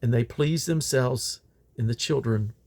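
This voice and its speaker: A man's voice: mid-range voice, unhurried, a little mellow. A man reading Bible scripture aloud in old King James English, in a solemn, declamatory manner. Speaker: Bible reader.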